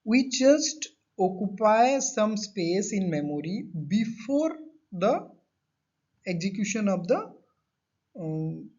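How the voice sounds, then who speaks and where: High-voiced man lecturing, dull audio. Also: sing-song, takes it slowly, pauses often, darkish tone, husky. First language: not Hindi. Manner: repeats and rephrases points; explains with everyday examples. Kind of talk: classroom lecture.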